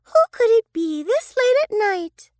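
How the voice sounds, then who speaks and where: A woman voicing a sweet, caring, gentle little girl in a children's storybook. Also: very high-pitched, expressive, measured pace, no echo, clean studio sound. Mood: tender, wondering.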